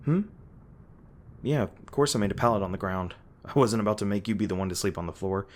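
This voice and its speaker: Guy doing a bashful protective hunter dude, real low voice, lots of uh's, kinda shy. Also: fairly quick, lightly acted. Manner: hesitant, bashful, stumbling over words. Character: awkward protective young male monster hunter. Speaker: roleplay voice actor. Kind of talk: audio roleplay addressing the listener.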